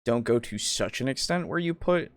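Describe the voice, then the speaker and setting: A man talks casually as a podcast co-host, in a mid-pitched voice. He thinks aloud, hesitant and rambling. The sound is very dry, with little trace of a room. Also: expressive, normal speed, no room sound. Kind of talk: casual discussion of roleplaying games.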